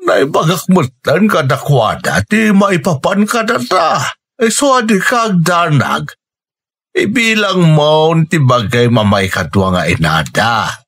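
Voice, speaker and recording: A man's voice: fairly high pitch, sing-song, measured pace, a bit bright, husky, exaggerated voice. A man playing a reassuring older father in a radio drama, with a Filipino accent. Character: reassuring older widowed father welcoming a partner.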